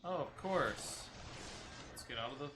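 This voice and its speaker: A man's voice: mid-range voice, expressive, measured pace, music in the background. A man giving casual gameplay commentary as a streamer, muttering his play-by-play as if talking to himself. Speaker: gaming streamer.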